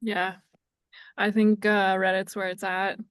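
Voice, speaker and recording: A woman on a marketing panel, joking and a bit halting, with frequent restarts. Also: medium pitch, level tone, clean tone, no room sound, clean studio sound. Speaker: social media marketer, panelist. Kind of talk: casual panel discussion.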